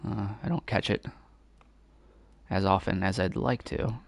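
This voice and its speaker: A raspy-voiced man hosting a casual gameplay walkthrough, speaking close to his mic and keeping his voice down. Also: sits low, steady tone, measured pace. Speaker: gaming walkthrough host. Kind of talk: casual live gameplay chat. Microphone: console headset or close mic.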